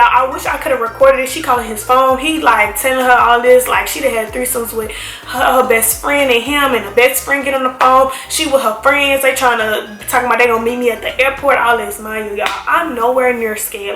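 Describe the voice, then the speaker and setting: A woman's voice: fairly high pitch, normal speed. A young woman telling a story to camera in a casual, slangy, emphatic way, talking straight to her viewers. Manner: animated, slangy, emphatic, addresses viewers as y'all. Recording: echoey room with faint music.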